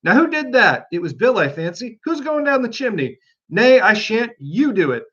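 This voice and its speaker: A man voicing a bustling crew of squabbling male animal workers from a storybook, rough and chummy. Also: high voice, sing-song, moderate pace, a bit bright, muffled recording, pitched above the performer's own voice, heavily acted. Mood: bustling, bickering.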